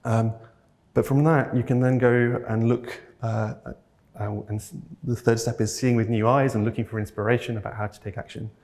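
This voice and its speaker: A man's voice: mid-range voice, level tone, moderate pace. A man from North Wales giving a thoughtful keynote talk, earnest and a little hesitant as he searches for words. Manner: earnest and gently enthusiastic. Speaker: keynote speaker. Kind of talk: reflective conference talk. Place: talk venue, audience present.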